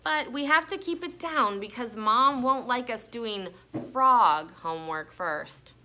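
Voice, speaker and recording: A playful, bossy big sister from a storybook, read aloud by a woman. Her voice is high and bright, with lively swings as she gives instructions and encouragement. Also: a little slow, a little hiss or hum. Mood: eager, instructing.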